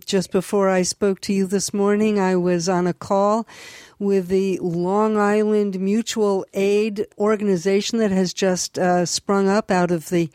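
A woman's voice: mid-range voice, mellow, no echo, studio quality. An older woman, a radio host, interviewing a guest in a reflective, thoughtful way.

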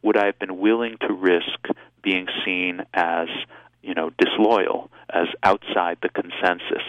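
Deep-voiced man in a muffled radio interview. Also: flat delivery, no echo. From Israel. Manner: measured, reflective, with frequent restarts and ums. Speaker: author being interviewed. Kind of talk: thoughtful interview answers.

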